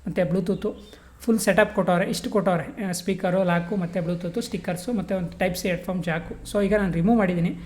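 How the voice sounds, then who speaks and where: A woman's voice: on the low side, a little mellow. A woman giving a casual step-by-step tutorial, colloquial and mixing in English words. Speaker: vlogger demonstrating gear installation.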